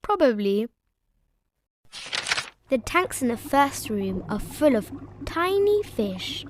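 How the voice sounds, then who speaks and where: High, animated woman reading a storybook. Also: slow pace, background noise. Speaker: storybook narrator. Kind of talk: children's read-aloud narration. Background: music behind the voice.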